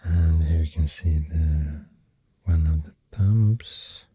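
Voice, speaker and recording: A man with a Swedish accent speaking softly in a halting, half-whispered ASMR ramble, close to the microphone. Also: extremely low pitch, no room sound. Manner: hushed, breathy, half-whispered. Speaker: ASMR host. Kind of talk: soft-spoken ASMR rambling.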